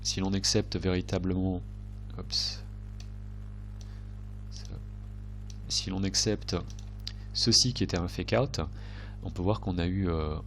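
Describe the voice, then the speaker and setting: A man's voice: low voice, fairly even intonation, slow pace, long pauses, fairly bright tone, a little hiss or hum. A man with a slightly congested, cold-affected voice, giving hesitant, unscripted chart commentary as a trading video host, often correcting himself as he thinks aloud.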